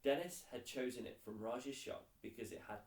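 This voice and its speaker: A man's voice: mid-range voice, moderate pace. A man narrating a children's novel aloud as a scripted storybook reading. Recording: roomy echo, distant mic.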